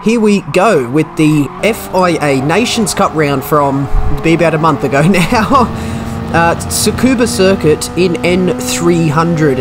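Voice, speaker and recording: A man's voice: on the high side, noisy background. Australian man, nasal from a cold. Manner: chatty, self-deprecating play-by-play. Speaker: gaming commentator. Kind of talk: racing game commentary over gameplay. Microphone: desk USB microphone.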